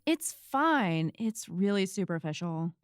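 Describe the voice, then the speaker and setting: A woman's voice: lowish voice, big pitch swings, unhurried, clear, resonant tone, no echo, studio quality. A woman playing a guarded, principled and blunt activist in a detective audio drama. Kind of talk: naturalistic audio drama acting.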